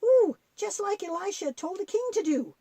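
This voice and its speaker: A woman playing an excitable, grumbling young boy in a children's audio story drama. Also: extremely high pitch, normal speed, dry recording. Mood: eager, mischievous. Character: Noah, excitable young boy with a grudge against mice.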